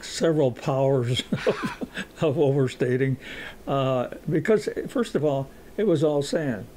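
Slow, soft-toned man in his eighties. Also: medium pitch, a little hiss or hum. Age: 80s. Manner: halting, many pauses and fillers, dry humor. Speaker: interviewee. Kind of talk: oral history recollection.

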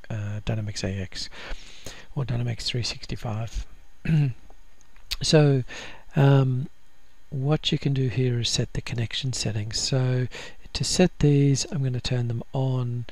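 A man walking through a software install in a casual screen-recorded tutorial, with frequent ums and restarts. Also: medium pitch, gravelly. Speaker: software trainer.

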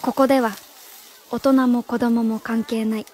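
High-voiced earnest young schoolteacher played by an actress. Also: female voice, flat delivery, unhurried, a little mellow. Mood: earnest resolve. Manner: formal, polite, earnest. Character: earnest, stiff young female schoolteacher, proud and vulnerable. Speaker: radio drama actress. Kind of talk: Japanese radio drama acting.

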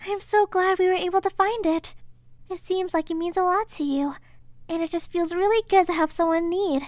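A woman playing a kind, hopeful teenage schoolgirl in a game dub, speaking earnestly and gently. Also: extremely high pitch, a little fast, no room sound. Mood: glad. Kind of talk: video game dub acting.

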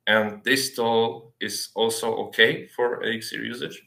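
A man in his thirties giving a technical presentation over a video call, speaking haltingly with frequent filled pauses. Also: medium pitch, fairly even intonation, gravelly, sharp tone, a little hiss or hum. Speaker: software developer giving a talk. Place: small plain indoor room, on a video call. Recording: roomy, echoey webcam sound.

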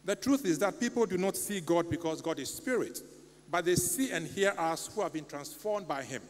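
A man's voice: a little high, moderate pace. Ghanaian man preaching in a reverberant church. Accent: Ghanaian English. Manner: earnest, pleading, animated hand gestures. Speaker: lay preacher. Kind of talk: sermon. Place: church sanctuary. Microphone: stand mic at pulpit. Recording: long church reverb, faint hum.

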